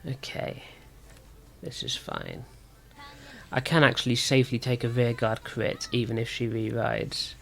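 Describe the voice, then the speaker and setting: Male gaming video host, medium pitch, sore and slightly rough voice, casual play-by-play, reasoning through moves aloud. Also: steady tone, unhurried, slight background noise. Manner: thinking aloud. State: sore throat. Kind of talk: casual card game commentary.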